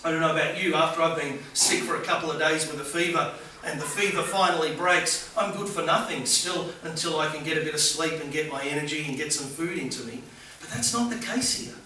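A middle-aged man delivers a sermon in a small church, leaning into key words with earnest emphasis. His voice sits fairly high. The camera is far back in a very echoey room, with a faint hiss over everything. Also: brisk, sharp tone. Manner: earnest preaching with rising emphasis. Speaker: pastor. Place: small church hall with congregation.

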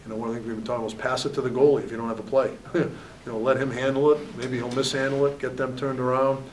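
A man's voice: medium pitch, little pitch movement, racing, far from the mic, a little hiss or hum, low-quality recording. A man in his forties, a head hockey coach, answering questions candidly at a postgame press conference and often saying "you know". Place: press room at a backdrop. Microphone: table mic, at a distance.

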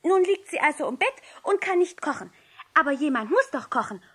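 A plucky little girl in a vintage radio drama. The voice is very high. She speaks emphatically, as if lecturing a grown-up, and drives her points home with rhetorical questions. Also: big pitch swings, a little fast, a bit bright. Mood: indignant, emphatic. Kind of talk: German radio play acting, 1960s.

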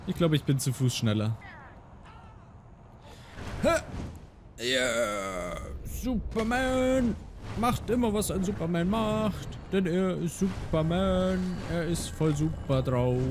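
A man's voice: high-pitched, lively intonation, slow pace, background noise. A young man giving casual, goofy commentary over a game and singing silly rhymes. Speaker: let's play host.

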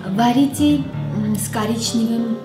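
A woman in her thirties, a native Armenian speaker, hosting a casual cooking demonstration in her home kitchen with a chatty, playful manner. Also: a little high. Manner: chatty, playful, slow and unhurried. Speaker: cooking show host. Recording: echoey kitchen with loud music bed.

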